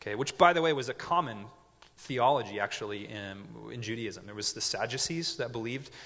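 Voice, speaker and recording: A man's voice: mid-range voice, a little fast, a bit bright. Young man preaching in a reverberant hall. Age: 20s. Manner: conversational, joking asides, frequent "okay?" check-ins. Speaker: pastor. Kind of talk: sermon.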